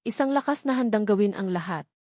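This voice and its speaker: A woman voicing a charming, confident male boss who speaks in a measured, earnest and intimate way. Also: medium pitch, expressive, dark tone, no echo, studio quality, natural voice.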